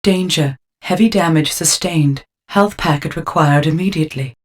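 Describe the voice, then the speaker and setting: Low-pitched impassive car computer. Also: female voice, normal speed. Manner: flat, clipped, emotionless. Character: impassive female onboard computer warning system. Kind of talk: video game system alerts.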